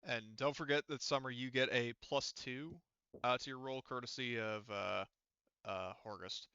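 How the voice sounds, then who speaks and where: A man's voice: mid-range voice, normal speed, pushed and bright, clean tone, no room sound, lo-fi sound. A man acting as game master, patiently explaining the rules of a tabletop roleplaying game step by step.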